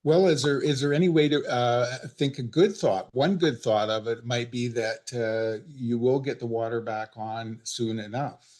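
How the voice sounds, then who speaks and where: A man with an ordinary mid-pitched voice gives peer support. He coaches gently and hesitantly, feeling for his words as he goes. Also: measured pace. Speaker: participant acting as support-call helper. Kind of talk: unscripted role-played peer-support call.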